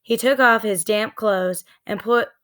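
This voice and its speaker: A woman narrating a children's book in a deliberate, expressive storytelling manner. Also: a little high, clear, resonant tone. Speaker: read-aloud storyteller.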